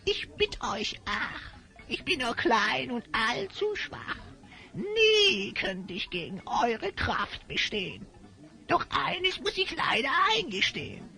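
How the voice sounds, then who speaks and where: An actor playing a sly, flattering hyena-headed spy who talks theatrically in rhyme in a fantasy audio drama. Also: male voice, very high voice, slow, vocal fry, faint music behind, heavily acted. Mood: whining, scheming. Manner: speaks theatrically in rhyme, obsequious.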